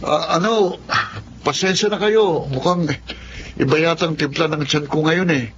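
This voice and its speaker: A man's voice: a little high, moderate pace, close to an everyday voice. Evasive young Filipino man played by an actor, muffled sound. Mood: sheepish excuses. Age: young adult. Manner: hesitant, stammering excuses. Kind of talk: naturalistic television drama acting. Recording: dull audio with faint background noise.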